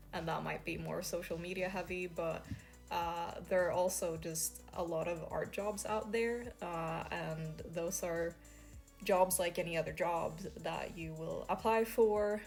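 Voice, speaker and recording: A woman's voice: fairly low pitch, a little mellow. A young woman from Sweden with a Swedish accent, a freelance artist chatting casually to camera with lots of fillers and small laughs.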